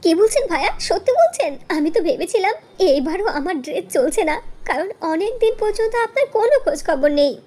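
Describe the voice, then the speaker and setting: A woman's voice: very high-pitched, big pitch swings, moderate pace, few pauses, darkish tone. A woman voicing an earnest, anxious young village daughter-in-law who sews dresses, in a fairy-tale cartoon, speaking respectfully. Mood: surprised.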